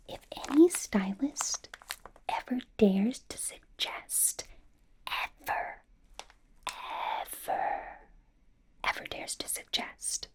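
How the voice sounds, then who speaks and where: A woman in an ASMR roleplay playing a doting, bossy young pop idol turned fashion stylist, in a soft breathy whisper with a playful, halting delivery. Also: medium pitch. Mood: playfully stern. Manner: playful, gushing, gently insistent, halting. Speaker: ASMR performer. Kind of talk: ASMR roleplay, personal attention.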